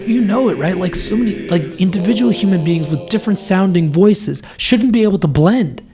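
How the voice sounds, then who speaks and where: A man's voice: sits high, lively intonation, brisk, vocal fry, dark tone. A man with a scratchy voice, a deacon explaining church worship in earnest, thoughtful conversation, building his points through repetition. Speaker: deacon guest.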